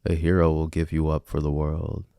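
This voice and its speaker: A man acts out a smug villain wooing a civilian in a romantic ASMR roleplay. His voice is extremely deep and mellow, with a gravelly fry. He teases and persuades in a casual, cocky way, close to the mic. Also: little pitch movement, normal speed, dry recording. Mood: earnest wooing. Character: flirty, cocky male villain smitten with a civilian.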